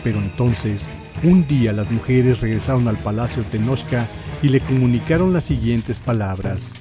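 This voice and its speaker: A man's voice: mid-range voice, normal speed, music in the background. A man with a Mexican accent reading scripted historical narration in a solemn, theatrical, literary manner.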